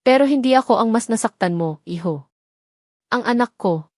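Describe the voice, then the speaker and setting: A woman voicing a forgiving, soft-spoken elderly father and humble working man in an audio drama. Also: on the high side, expressive, moderate pace, no room sound, clean studio sound. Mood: forgiving, pained.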